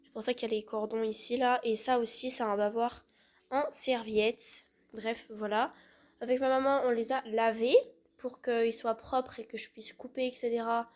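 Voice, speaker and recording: A woman's voice: a little high, level tone, normal speed, a little mellow. A woman with a cold chatting hesitantly from her living-room sofa while doing a craft project. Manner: chatty, hesitant with many fillers. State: has a cold. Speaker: vlogger. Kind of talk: casual crafting vlog.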